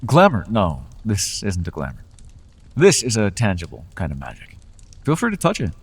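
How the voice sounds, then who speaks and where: A man's voice: fairly low pitch, huge pitch swings, moderate pace, gravelly, slightly rough, in a large hall, exaggerated voice. A man voicing a charming, persuasive male Fae who makes deals, with playful, coaxing salesman patter in an ASMR roleplay.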